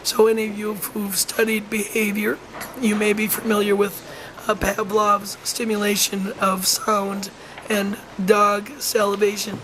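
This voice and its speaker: Hoarse, halting narrator with a flat voice. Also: medium pitch, slight background noise, rough recording. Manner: halting, frequent ums and restarts. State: hoarse, losing voice. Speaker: wolf center educator. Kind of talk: informal voice-over narration.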